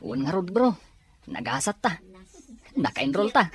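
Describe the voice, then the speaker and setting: Easygoing young Filipino college buddy, played by a woman; friendly, slightly low female pitch, audio drama. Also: big pitch swings, a little slow. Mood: curious, pleased. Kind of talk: amateur Ilocano audio drama acting.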